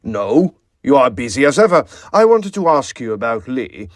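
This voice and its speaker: A sinister scholar villain acted by a man speaks with wildly swooping pitch and oily, false courtesy. The delivery is theatrical period audio drama, recorded dry. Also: very animated, moderate pace, no echo, close to an everyday voice. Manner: mock-polite, theatrical, swooping.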